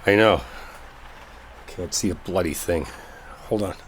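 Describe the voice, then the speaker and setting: A man's voice: low voice, unhurried, slight background noise. A man playing an exasperated, sarcastic, stubborn guy in a dramatic roleplay audio, talking impatiently with rain behind him. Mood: wry, hurried. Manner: impatient, sardonic, conversational, with mild swearing. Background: rain storm ambience.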